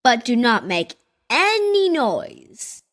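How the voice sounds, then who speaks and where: A woman voicing a friendly, excitable little boy in a children's storybook, with an expressive, childlike delivery. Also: a little high, takes it slowly, exaggerated voice. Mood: caring, eager.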